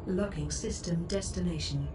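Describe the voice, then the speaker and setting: A woman voicing a composed spaceship computer assistant for a game, making formal, measured announcements. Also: fairly low pitch, far from the mic, noisy background, lightly acted. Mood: formal reporting.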